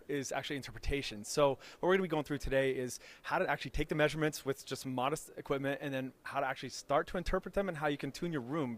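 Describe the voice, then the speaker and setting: A man speaking as an enthusiastic expert guest, explaining technical material informally in an interview. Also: medium pitch. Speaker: acoustics lecturer, interview guest.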